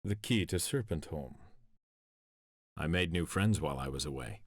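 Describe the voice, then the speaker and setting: A stern samurai prince in a game cutscene. The voice is deep and gritty, recorded close and dry. He gives orders curtly, with brooding authority. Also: expressive, normal speed, dry recording. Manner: curt, commanding. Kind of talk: video game cutscene acting.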